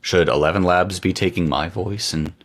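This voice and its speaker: A man's voice: low-pitched, steady tone, moderate pace, a bit bright. A man co-hosting a tech podcast, chatting casually and joking playfully. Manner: playful, jokey, tangents. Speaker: podcast co-host.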